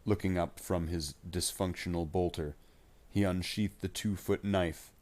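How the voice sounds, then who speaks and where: A male storyteller reads dramatic fiction in a very deep voice. His delivery is slow and measured, with deliberate phrasing throughout. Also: fairly even intonation, darkish tone. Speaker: narrator. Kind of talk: scripted dramatic story narration.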